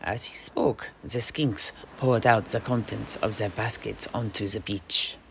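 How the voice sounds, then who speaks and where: Creaky-voiced male fantasy storyteller. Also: fairly low pitch, steady tone, unhurried, noisy background. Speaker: host, storyteller. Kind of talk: in-character fantasy audio play storytelling.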